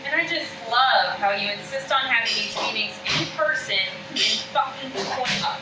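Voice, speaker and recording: A woman's voice: a little high, normal speed, lightly acted. A woman at a comedy table read playing a blunt, foul-mouthed, sarcastic podcast co-host. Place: indoor room at a table read. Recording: echoey room, distant mic, background noise.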